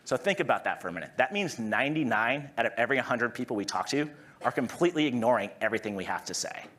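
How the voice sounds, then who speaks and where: Man, thirties, Massachusetts accent, conference talk, quick delivery, lively melody. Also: medium pitch, breathy edge. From Massachusetts, USA. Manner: energetic, conversational, frequent "right?" tags. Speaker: conference speaker. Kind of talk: conference presentation. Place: conference stage before an audience.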